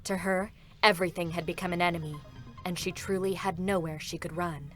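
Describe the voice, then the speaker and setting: An actress playing a cold, dutiful executioner android, formal and controlled, in a dramatic reading of a game character. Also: female voice, on the low side, fairly even intonation, brisk, a bit bright, natural voice. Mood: cool, detached. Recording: music behind the voice. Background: music.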